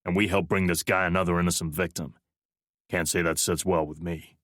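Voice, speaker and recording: A man's voice: low-pitched, flat delivery, fairly quick, fairly bright tone, no room sound, studio quality. A man playing a big, wry male specialist.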